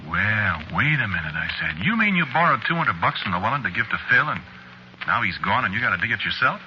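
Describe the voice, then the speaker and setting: On a dull, noisy old radio recording, a shifty American store clerk confiding a dark tale. His voice sits low and swings widely in pitch. He talks in slangy, folksy, offhand 1940s suspense style, with the cool air of a killer. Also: big pitch swings, quick pace, exaggerated voice. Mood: feigned concern, scornful. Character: shifty working-class store clerk, coolly confessing killer. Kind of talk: 1940s radio suspense acting, confiding first-person narration.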